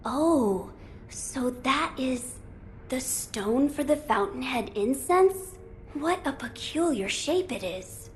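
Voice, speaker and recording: An actress voices an earnest boy lord in a video game. The delivery is composed and courtly, with quiet resolve. Faint noise sits behind the voice. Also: high-pitched, animated, slow, poor audio. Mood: thoughtful, resolute. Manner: formal, thoughtful, composed. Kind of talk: video game cutscene acting.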